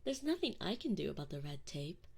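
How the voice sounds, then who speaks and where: A woman's voice: sits low, big pitch swings, a little mellow, exaggerated voice. A woman voicing a sadistic, smug female alien enforcer in an ASMR roleplay, taunting and condescending, sometimes dropping to a whisper. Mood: mocking, condescending. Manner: slow, taunting, condescending, slipping into breathy whispers. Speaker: audio roleplay performer. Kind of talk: ASMR roleplay acting, addressing the listener.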